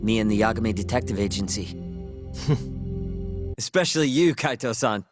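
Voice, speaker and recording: A man's voice: fairly low pitch, lively intonation, moderate pace, over music, close to an everyday voice. An actor playing a cool, self-assured young male hacker in a naturalistic video game scene. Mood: confident. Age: young adult.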